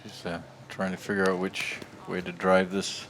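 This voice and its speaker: A man giving live curling commentary with animated play-by-play calls. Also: low-pitched, normal speed. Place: curling arena. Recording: arena crowd noise behind.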